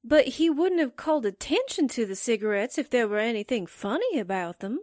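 Woman acting high-voiced, excitable reporter sidekick. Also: brisk, no echo, clean studio sound. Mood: puzzled. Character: eager, excitable, slangy newspaperwoman sidekick. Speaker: actor in an amateur radio drama re-creation. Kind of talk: 1940s radio acting.

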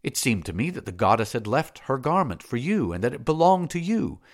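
A man's voice: mid-range voice, sing-song, fairly quick, gravelly, voice pushed up. A man voicing an adoring, fierce mercenary chief in an audiobook. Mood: pleading. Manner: passionate, pleading, adoring. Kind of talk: audiobook character voice.

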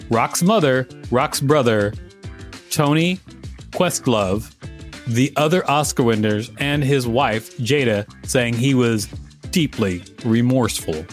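A man co-hosting a podcast, reading an article aloud and chatting casually. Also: mid-range voice. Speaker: podcast co-host.

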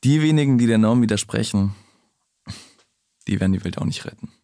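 A man answering as an interview guest, conversational, with a curt, cynical manner and a gravelly voice. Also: fairly low pitch, expressive, a little fast, dark tone, a little hiss or hum.

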